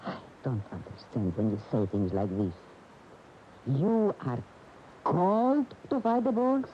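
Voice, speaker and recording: A woman acting in a radio drama as a proud, exasperated lover of a famous bullfighter, emotive and reproachful, with deliberate pauses. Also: rumbling low, expressive. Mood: challenging. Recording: muffled, hissy radio broadcast tape.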